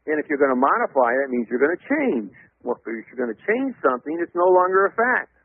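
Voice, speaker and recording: Male phone-in guest, loud and emphatic lecturer, slightly high voice, very dry tinny line. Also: sing-song, fast talker, breathy edge, no room sound. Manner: emphatic, lecturing, spells out words letter by letter. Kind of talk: radio call-in interview. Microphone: telephone.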